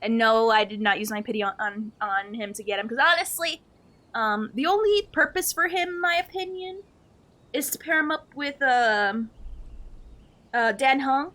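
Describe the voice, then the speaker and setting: A woman's voice: on the high side, moderate pace, pushed and bright. A woman livestreaming a game, chatting casually with viewers in a playful, slangy, giggly manner.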